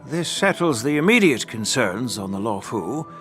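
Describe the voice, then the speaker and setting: An elderly, venerable strategist in a game story scene. He chooses his words formally and with weight, his mid-pitched voice rising and falling freely. Faint music plays behind him. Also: big pitch swings, fairly bright tone. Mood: resolute. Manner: formal, deliberate, weighty phrasing. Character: venerable elder statesman, measured, sagely strategist. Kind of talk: scripted video game dialogue.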